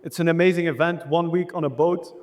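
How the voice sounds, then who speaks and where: A man's voice: on the high side, fairly even intonation, fairly quick. A man with a Belgian accent giving an enthusiastic motivational business presentation to a live audience, as a keynote speaker. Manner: enthusiastic, rallying the audience with questions. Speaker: keynote speaker, landscape architect. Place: indoor event hall, speaking on stage. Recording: reverberant hall sound.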